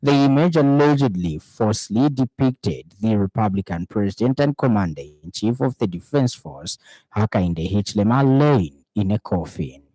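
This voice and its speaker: A man with a Zambian accent reading a scripted radio news bulletin in a formal, measured manner. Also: on the low side, lively intonation, warm tone, no room sound, rough recording. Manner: formal, measured newsreader delivery.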